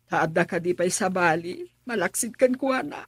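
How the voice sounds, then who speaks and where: A woman's voice: fairly low pitch, lively intonation, moderate pace, husky, dry recording, clean studio sound. A woman playing a scheming, doting middle-aged mother in a radio drama. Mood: coaxing. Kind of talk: Filipino radio drama acting.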